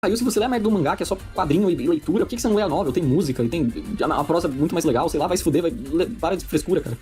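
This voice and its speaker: Very fast-talking Brazilian man reviewing, slightly high-pitched. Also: animated, dark tone, faint music behind. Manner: informal, sweary, persuasive. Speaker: video essayist. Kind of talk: scripted video review with casual asides.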